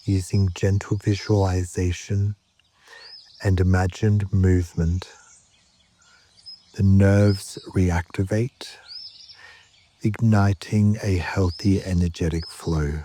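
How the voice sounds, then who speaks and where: Deep, slow, mellow male meditation guide. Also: a little hiss or hum. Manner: calm, measured, with long pauses between short phrases. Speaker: yoga nidra meditation guide.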